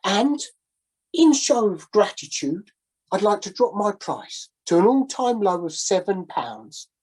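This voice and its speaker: A woman's voice: mid-range voice, big pitch swings, measured pace, a little mellow, breathy edge, voice pushed up. A woman voicing a shifty male sailor who talks like a wheedling salesman. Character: shifty male sailor hawking his own drowning.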